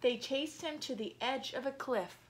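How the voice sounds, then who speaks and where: A young adult woman reading a picture book aloud to children, animated and explanatory, with asides. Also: sits high, moderate pace, breathy edge. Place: small room at home.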